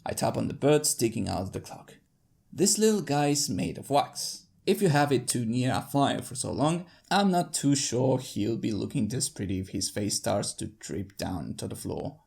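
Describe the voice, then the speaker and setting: A narrator reading scripted first-person fiction in character, with deliberate, dramatic phrasing. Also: medium pitch, lively intonation, gravelly, a little mellow, breathy edge. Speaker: fanfiction narrator.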